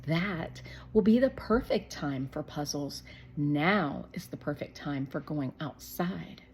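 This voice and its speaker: Woman playing coaxing, animated storybook mom. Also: deep voice, takes it slowly, slight background noise, full character voice. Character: cheerful, encouraging mother of a young boy.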